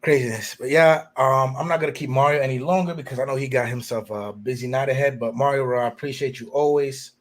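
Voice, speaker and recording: A man hosting a live stream over a video call, with an average pitch and an even tone. He talks in a laid-back, agreeable way, easing his guest along. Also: fast talker, hardly any pauses. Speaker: host.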